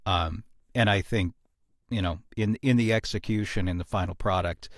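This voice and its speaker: Man, lowish voice, earnest and reflective, halting phrasing, casual podcast discussion, close dry sound. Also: dry recording. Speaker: podcast host and series creator. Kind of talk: casual behind-the-scenes discussion.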